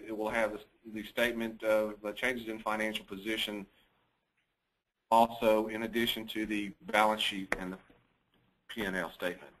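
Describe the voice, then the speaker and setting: A man's voice: lowish voice, fairly bright tone, poor audio. A man speaking as a chief financial officer, giving a businesslike, matter-of-fact financial report on a conference call.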